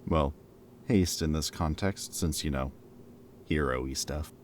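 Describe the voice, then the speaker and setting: A man's voice: a bass voice, slow pace, a little hiss or hum. A man playing a former villain in an audio roleplay, a playful, loyal friend who talks in a casual, wry, bantering and hesitant way, as if in conversation. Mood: cautious, serious.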